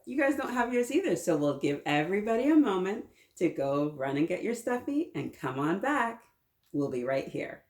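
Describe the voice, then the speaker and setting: Lively woman reading to children, roomy sound. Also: fairly low pitch, normal speed. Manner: encouraging, asks the children many questions. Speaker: children's story time host and reader. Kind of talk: read-aloud storytelling with children, chatting along. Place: small children's playroom, indoors. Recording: roomy echo, mic at a little distance.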